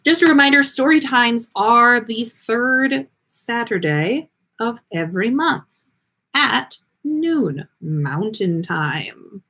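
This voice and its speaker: A woman hosting a story livestream, reading aloud and chatting in an expressive, effusive way. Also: fairly high pitch, takes it slowly. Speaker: podcast host reading listener stories.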